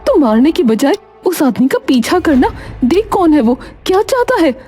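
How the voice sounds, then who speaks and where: A woman's voice: high-pitched, sing-song, normal speed, a little mellow, soft background music, voice pushed up. A woman voicing a bold, sensible neighbour in a cartoon story, with a frank, urgent and chiding manner. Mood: chiding concern. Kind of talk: animated cartoon story dialogue.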